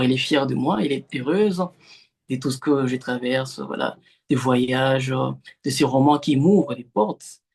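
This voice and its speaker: A young man from the Democratic Republic of the Congo, a Swahili native speaker, answering literary interview questions haltingly over a remote call, with many fillers and restarts. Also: medium pitch, darkish tone, slightly rough. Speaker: novelist, interview guest.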